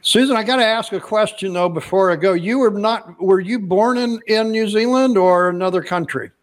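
Rambling, higher-voiced male podcast host. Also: moderate pace. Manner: rambling, repeats words when starting sentences. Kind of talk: casual interview chat.

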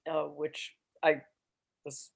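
An older actress answering interview questions in a relaxed, agreeable way, laughing easily. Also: female voice, low voice, takes it slowly, creaky, husky. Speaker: actress guest. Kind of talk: casual interview chat.

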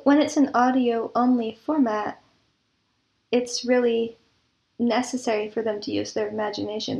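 A woman's voice: fairly high pitch, vocal fry, darkish tone, dull audio. A woman speaking conversationally as an interview guest, explaining her creative work in earnest detail. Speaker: interview guest, audio drama producer and composer.

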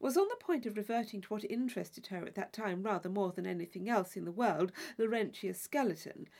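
A woman narrating a classic novel, reading in a measured, formal storytelling manner. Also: medium pitch, lively intonation, vocal fry, darkish tone. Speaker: audiobook narrator. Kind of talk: scripted literary narration.

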